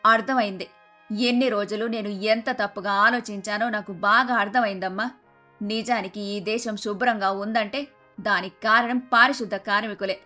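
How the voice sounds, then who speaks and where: A woman playing an older, fussy mother-in-law in a Telugu animated moral story, with a dramatic, emphatic delivery. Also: medium pitch, moderate pace, lightly acted. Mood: remorseful. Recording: music under the voice.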